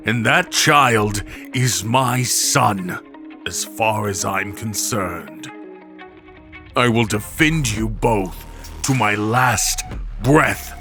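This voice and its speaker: Fierce, gravelly war god played by a man. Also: fairly low pitch, animated, bright, forceful tone, faint music behind, exaggerated voice. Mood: devoted, resolute. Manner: dramatic, impassioned, halting delivery. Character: fierce male god of war, protective devoted lover and father. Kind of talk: ASMR roleplay audio drama acting.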